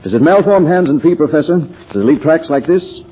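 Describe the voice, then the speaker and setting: An actor playing a composed, polite and decisive gentleman sleuth in an old-time radio mystery. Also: on the high side, expressive, fairly quick, slightly rough. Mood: curious. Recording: muffled, noisy vintage radio recording.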